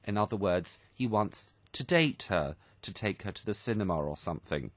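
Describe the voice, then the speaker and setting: A man's voice: lowish voice, animated, moderate pace. A man presenting an English lesson on the radio, explaining phrases simply and didactically with examples and repetition. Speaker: radio programme host and English teacher. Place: radio studio.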